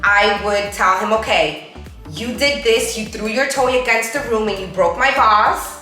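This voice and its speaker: A woman's voice: on the high side, measured pace, bright, forceful tone. A middle-aged woman from the Dominican Republic co-hosting a casual chat, speaking bluntly and emphatically. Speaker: co-host. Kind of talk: casual chat show talk. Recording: very echoey room, faint music.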